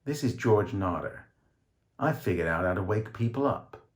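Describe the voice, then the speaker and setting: A man's voice: on the low side, lively intonation, moderate pace, lightly acted. Man playing a curt, menacing fugitive. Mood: urgent. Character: desperate man on the run, curt, menacing killer.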